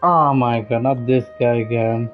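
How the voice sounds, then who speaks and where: A man's voice: medium pitch, fairly even intonation, unhurried. A man chatting casually to stream viewers as he games, with a slangy, teasing manner. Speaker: game streamer. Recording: dull lo-fi mic, faint game music. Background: faint game music.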